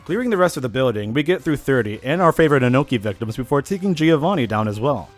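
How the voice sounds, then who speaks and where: A man giving a casual, scripted voice-over for a video game challenge, with slangy, animated asides. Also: mid-range voice, fast talker, soft background music. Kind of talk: casual scripted gameplay narration.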